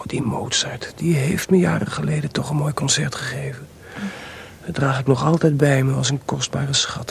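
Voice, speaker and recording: A man's voice: mid-range voice, vocal fry, slight background noise, close to an everyday voice. A man playing an anxious, frustrated court composer in a radio drama, agitated and hesitant in manner.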